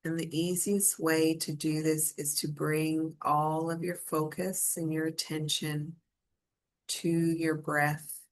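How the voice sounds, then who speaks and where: A woman with a low voice leads a guided meditation at an extremely unhurried pace. Her intonation stays quite flat and steady, and her delivery is gentle and soothing, softly inviting the listener along. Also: low-quality recording.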